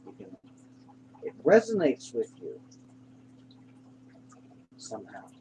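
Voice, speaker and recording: A man narrating a guided meditation in an unhurried, reassuring manner, pausing between short phrases. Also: on the low side, big pitch swings. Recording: clear background hum and noise.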